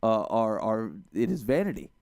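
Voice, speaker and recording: A man's voice: on the low side, sing-song, moderate pace, darkish tone. A married man co-hosting a radio talk show, teasing the others with a laugh and reading the show's closing notes. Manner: playful teasing, laughs often. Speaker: radio co-host. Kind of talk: casual banter and show outro.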